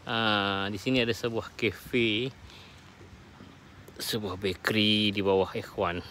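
Casting: inquisitive Malaysian food vlogger, medium-pitched voice, casual chatty commentary over light cafe noise. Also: steady tone, slow pace, a bit bright. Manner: chatty, inquisitive, repeats answers back. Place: walking outside and inside a roadside cafe. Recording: noisy handheld recording with cafe ambience.

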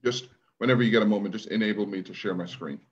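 Guest, middle-aged man, very low voice, measured and reflective, muffled call audio. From Guyana, raised in Brooklyn. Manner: thoughtful, measured, with restarts. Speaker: guest, leadership trainer. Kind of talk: conversational interview. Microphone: video call.

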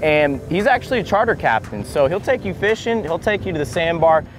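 A man's voice: a little high, expressive, brisk, noisy background. Laid-back man chatting on a boat. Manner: laid-back, enthusiastic, joking. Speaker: vlog co-host. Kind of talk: casual outdoor vlog chat. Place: boat on open water outdoors. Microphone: clip-on mic. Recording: music under the voice.

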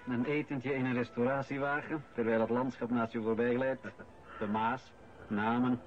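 Actor as smooth director, muffled noisy recording. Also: male voice, mid-range voice, fairly even intonation, lightly acted. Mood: insinuating, measured. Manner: measured, insinuating, formal. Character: calculating, smooth-talking male incoming director pressuring a colleague. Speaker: radio play actor. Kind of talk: radio drama acting, conversational. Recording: muffled old radio recording, noisy background.

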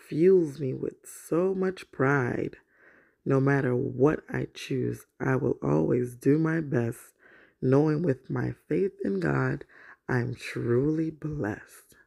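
A woman, around her thirties, speaking as the host of a children's story time, in an expressive storytelling manner. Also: deep voice, vocal fry. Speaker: host of a children's read-aloud show. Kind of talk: children's story time, picture book read aloud.

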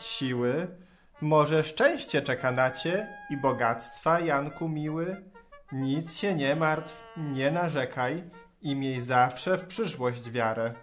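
Slow-talking clever fairy-tale tomcat, played by an actor. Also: medium pitch, close to an everyday voice. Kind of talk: audio drama verse acting.

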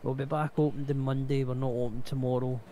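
A man's voice: mid-range voice, level tone, fast, warm tone. A man with a blunt, sweary way of talking, chatting casually in character in an online roleplay game. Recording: game voice chat with clear background noise.

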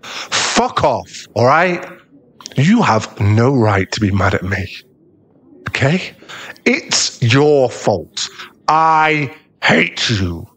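A man playing a bitter, heartbroken young school bully in an audio roleplay, scornful and aggrieved, with a gruff protective streak. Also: mid-range voice, big pitch swings, drawn-out words, a bit bright, full character voice. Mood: bitter, angry. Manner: aggrieved, swearing, scornful, dropping into breathy muttering. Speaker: ASMR roleplay voice actor. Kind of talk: ASMR boyfriend audio roleplay.

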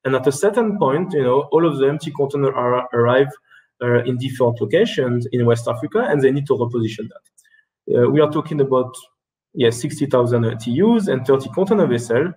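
A man in his thirties presents over a video call from an indoor room. His voice sits at an ordinary middle pitch, and he talks haltingly with many restarts and fillers. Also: a little mellow, poor audio. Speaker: keynote speaker. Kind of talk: webinar presentation and Q&A answers.

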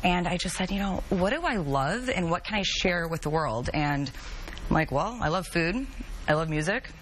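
A woman in her thirties chats animatedly as a guest on a television talk-show set. Her voice sits on the low side, with a faint hum of room ambience behind her. She tells her stories quickly and casually, in a lapel-miked studio sound. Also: poor audio. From Chicago area. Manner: chatty, animated storytelling. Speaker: guest, travel and music blogger.

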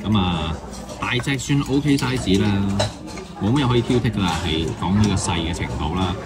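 Lively low-voiced man reviewing food. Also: moderate pace, over loud music. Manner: chatty, musing out loud, mildly critical. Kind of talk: casual food review voice-over.

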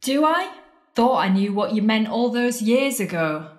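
A woman's voice: fairly high pitch, big pitch swings, measured pace. An actress playing a bitter, scornful woman confronting her lost love in an audio drama, sardonic and accusing. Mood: accusing, hurt. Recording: roomy, echoing space.

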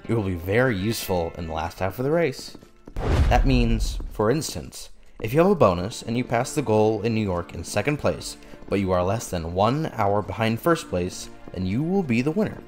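A man playing an excitable, official-sounding race announcer in a dramatic manga fandub. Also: fairly low pitch, normal speed, soft background music, natural voice. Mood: formal, explanatory. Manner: formal explaining that bursts into breathless excitement.

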